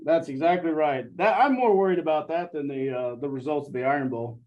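A man joins a sports podcast as a guest and rambles with lots of fillers. His voice sits a bit high. The recording sounds dull and lo-fi. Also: brisk. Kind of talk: casual sports chat.

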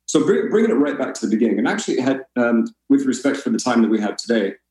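A man's voice: mid-range voice, sing-song, quick pace, darkish tone, reverberant. An American man from Colorado speaking as a podcast guest, telling stories casually in a gracious, self-deprecating way. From Colorado, United States. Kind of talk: casual conversational storytelling.

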